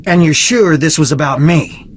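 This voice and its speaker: A man's voice: on the high side, moderate pace, a little hiss or hum, close to an everyday voice. An actor voicing a reserved, earnest young man in a video game, speaking in a guarded, confiding manner.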